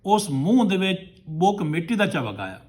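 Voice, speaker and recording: A man's voice: sits high, big pitch swings, normal speed, darkish tone. A man telling a folk tale to listeners as a storyteller, in short rustic phrases.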